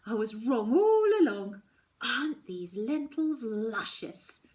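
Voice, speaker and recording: A woman's voice: a little high, big pitch swings, slow pace, a little mellow. A woman voicing an angry, hurt schoolgirl in a storybook, with an impassioned, emphatic delivery. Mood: indignant. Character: angry, hurt schoolgirl narrator, confronting her mother.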